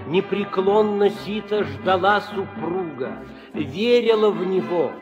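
A man giving solemn, measured dramatic narration for a 1960s audio drama. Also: high voice, dull audio, over music.

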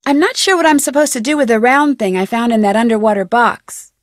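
High-voiced, inquisitive young female detective, played by a woman. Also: a little fast, no echo, studio quality, natural voice. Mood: curious. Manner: polite, earnest, crisply questioning. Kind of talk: video game dialogue voice acting.

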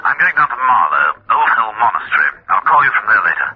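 An actor playing a grave, brisk gentleman secret agent in a radio drama, speaking in a clipped, curt way. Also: lowish voice, big pitch swings, breathy edge, heavily acted. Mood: grave, businesslike. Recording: muffled lo-fi radio recording.

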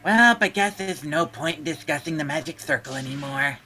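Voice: medium pitch, fairly quick, a crowd in the background, natural voice. A performer voicing a mischievous teenage boy schemer in a game fan dub, needling and casually dismissive. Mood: bored, dismissive.

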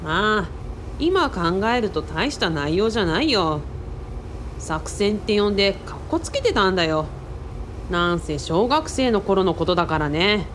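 A woman playing a boyish, excitable young man in a radio drama, speaking casually and exclaiming often. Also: medium pitch, unhurried, a little hiss or hum. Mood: casual, nostalgic. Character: boyish, excitable young male sidekick, Kaoru.

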